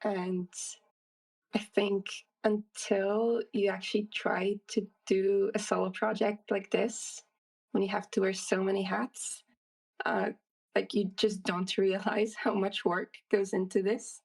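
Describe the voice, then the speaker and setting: A woman's voice: mid-range voice, flat delivery, slow, creaky, darkish tone, distant mic, low-quality recording. A woman, a solo game developer, answering interview questions in a casual, thoughtful way with many fillers and restarts.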